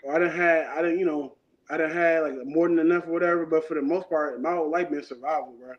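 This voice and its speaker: Brief: man in his thirties, interview guest, relaxed and slangy, highish voice, muffled lo-fi sound. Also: fast. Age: 30s. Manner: laid-back, rambling, slangy. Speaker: guest artist. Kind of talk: casual interview talk. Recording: dull lo-fi sound.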